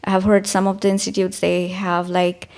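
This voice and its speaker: A woman leads a workshop discussion, gathering input and steering the session. She sounds friendly and organized, though slightly halting. Her pitch stays level and even. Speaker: workshop facilitator. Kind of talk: facilitating group discussion.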